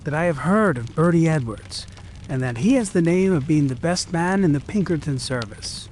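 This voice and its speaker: A man's voice: fairly high pitch, lively intonation, normal speed, darkish tone, slight background noise, natural voice. A man voicing an older lodge brother who formally addresses a meeting in a dramatised audiobook. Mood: cautionary. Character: deliberate older male member of a secret lodge.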